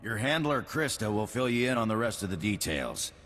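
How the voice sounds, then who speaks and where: A stern, formal official from a video game. His voice is fairly low and husky, moving expressively, with long reverb. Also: sing-song, a little fast, fairly bright tone. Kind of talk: scripted game character acting.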